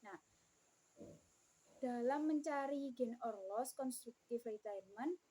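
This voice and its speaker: A woman's voice: fairly high pitch, slow pace, warm tone, rough recording. A young woman gives an explanatory student presentation, sometimes repeating phrases as she goes. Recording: clear background room noise.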